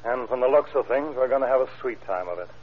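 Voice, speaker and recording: An actor playing a weary, dryly gloomy small-town sheriff in an old-time radio crime drama. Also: male voice, medium pitch, lively intonation, fast, close to an everyday voice. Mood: weary, grim. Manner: dry, grim, plain-spoken. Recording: muffled, hissy old radio recording.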